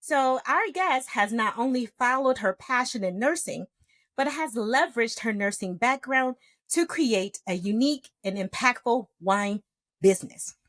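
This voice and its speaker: Bright-voiced woman hosting a podcast. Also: fairly high pitch, expressive, measured pace, dry recording, clean studio sound. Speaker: podcast host. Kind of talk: scripted podcast intro and interview.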